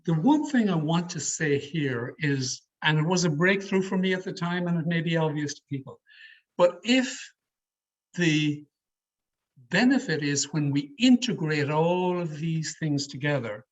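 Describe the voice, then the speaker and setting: A man leading an online public speaking workshop in a deliberate, emphatic, varied delivery, as a trainer addressing his learners. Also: a little high, lively intonation. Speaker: speech trainer giving an educational session. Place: online video call. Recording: slightly muffled, lo-fi video call audio.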